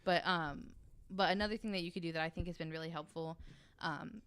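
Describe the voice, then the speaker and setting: A woman's voice: on the low side, fairly even intonation, a little fast, a bit bright, clean tone. A woman talking casually and informally as a podcast panelist, swearing freely and feeling her way through her phrasing. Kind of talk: casual unscripted discussion.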